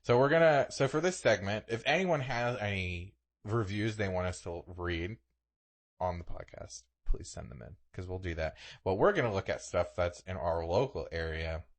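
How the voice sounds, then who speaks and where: A man's voice: sits low, lively intonation, moderate pace. A man co-hosting a podcast in casual, comedic chat, thinking aloud a bit hesitantly as he goes. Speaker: podcast co-host.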